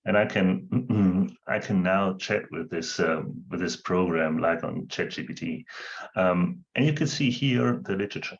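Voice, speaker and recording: A man who is not a native English speaker, demonstrating on a shared screen over an online call, halting with many ums and uhs. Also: sits low, creaky, lo-fi sound.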